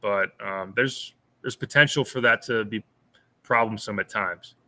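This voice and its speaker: A man in his thirties talks through a game as a podcast co-host on a video call from a small room at home. His voice sits a little low but sounds very bright and forward. He is thoughtful and circles back often, repeating words as he works out each point. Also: unhurried. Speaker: podcast co-host, sports analyst. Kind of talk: casual postgame analysis. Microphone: over-ear headphones with a webcam on a video call.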